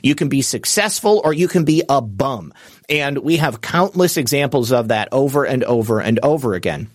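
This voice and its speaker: A man's voice: medium pitch, expressive, moderate pace, no echo, studio quality. A man hosting a political talk show, delivering an unscripted monologue in a rambling, emphatic way with frequent fillers and restarts. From United States. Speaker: political commentary show host.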